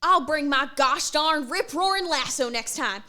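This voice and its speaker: A young cowgirl student, voiced by a woman, who talks in a folksy, exclaiming way. Her voice is high and bright. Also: expressive, a little slow, heavily acted.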